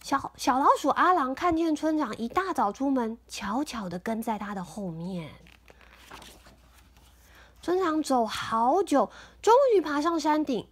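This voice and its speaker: A woman reading a picture book aloud to her child at home, gentle and sing-song, pausing to explain. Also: high voice, sing-song. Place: home, indoors.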